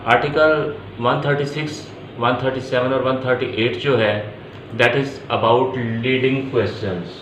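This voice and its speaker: A man's voice: medium pitch, steady tone, slow, fairly bright tone. A man with a Pakistani accent giving an explanatory law lecture, restating each point step by step and mixing in English terms. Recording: echoey room, faint background noise.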